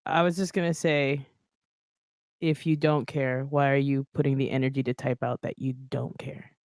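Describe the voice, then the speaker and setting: Man, high tenor, smooth clear tone, dry close sound; earnest livestream co-host. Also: little pitch movement, normal speed, a little mellow, no echo. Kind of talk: casual group livestream chat.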